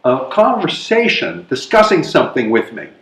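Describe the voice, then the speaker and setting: A man playing an amiable, evasive older gentleman in a readers theatre comedy, with expressive, drawn-out delivery. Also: medium pitch. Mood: evasive, placating. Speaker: amateur readers theatre performer. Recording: roomy, echoing live stage recording.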